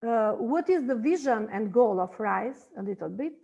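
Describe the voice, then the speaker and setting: A woman, an English speaker, presenting a project in an online info session and pausing often to search for words. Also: on the high side, expressive, creaky, a little mellow, muffled recording. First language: Albanian. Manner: halting, searching for words in a second language. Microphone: video call.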